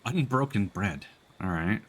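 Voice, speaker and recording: A man's voice: lowish voice, expressive, unhurried. A man live-streaming a game with casual commentary, reacting aloud in a wry, joking way.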